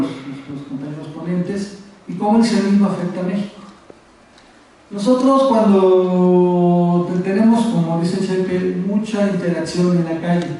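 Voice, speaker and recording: A very echoey, distant recording with faint noise carries a man speaking at a conference. His Mexico City accent comes in a high-pitched voice. He presents informally and haltingly, repeating words as he goes. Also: dark tone. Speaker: activist speaker on a conference panel. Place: university auditorium.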